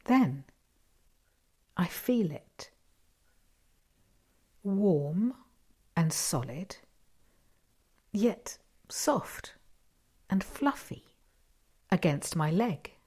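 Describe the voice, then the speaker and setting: A woman reading a first-person short story expressively, with dramatic pauses and hushed asides. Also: fairly low pitch, sing-song, husky.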